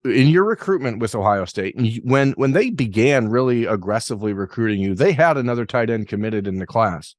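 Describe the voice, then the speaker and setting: A man's voice: mid-range voice, animated, brisk, few pauses, no echo, studio quality. A man hosting an interview podcast, asking long, rambling questions with self-deprecating asides. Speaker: podcast host.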